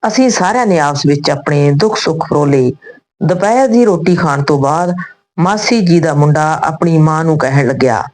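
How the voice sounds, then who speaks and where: Female storyteller, low register, reads scripted tales and dialogue phrase by phrase. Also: moderate pace, a little mellow, low-quality recording.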